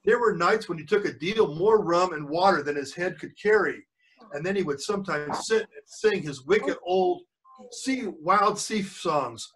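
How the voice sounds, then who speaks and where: High-pitched older man reading aloud, distant mic. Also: expressive, a bit bright. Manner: pauses to quiz the listeners. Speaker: grandfather reading to young children. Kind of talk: storybook read-aloud with chatty asides.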